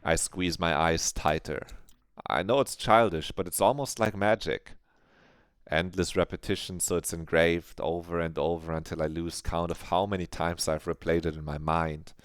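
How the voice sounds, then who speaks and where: A man streaming a visual novel playthrough, reading the narration aloud and reacting with animated commentary. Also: sits low, moderate pace, clear, resonant tone, no echo, clean studio sound.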